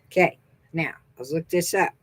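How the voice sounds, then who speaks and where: A woman's voice: low-pitched, normal speed, no echo. A middle-aged woman from the American Southwest with a Texan accent, chatting upbeat as a beauty consultant and thinking aloud while she looks things up. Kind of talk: casual sales vlog.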